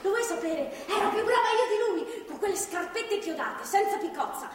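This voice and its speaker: A woman's voice: very high voice, moderate pace. An actress playing a defiant, tipsy, bitter captive younger woman in a stage drama, impulsive and sardonic in manner. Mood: exhilarated, reminiscing. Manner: impulsive, sardonic, emotionally expressive. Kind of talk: theatrical stage drama acting. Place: theatre stage, far microphone. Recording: echoey theatre hall, distant, faint noise.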